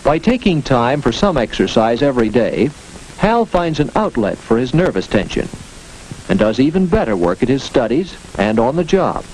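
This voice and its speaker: Male narrator, vintage 1940s educational film style. Folksy, instructive, animated intonation, faintly noisy old soundtrack. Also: medium pitch, moderate pace. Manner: instructive, folksy storytelling with rhetorical questions and asides.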